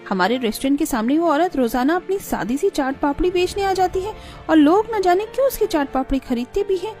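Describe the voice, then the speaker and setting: A woman's voice: very high voice, lively intonation, brisk, faint music behind. A woman voicing a jealous, haughty rich restaurant owner in a moral cartoon, with a scolding, sneering manner. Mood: bitter scheming. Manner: scolding, sneering, sharp-tongued. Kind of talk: Hindi moral cartoon voice acting.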